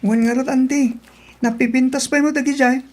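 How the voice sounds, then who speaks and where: A woman's voice: high-pitched, steady tone, moderate pace, darkish tone. A woman playing a polite, hopeful teenage son from a province family, respectful and agreeable in an Ilocano radio drama. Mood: grateful.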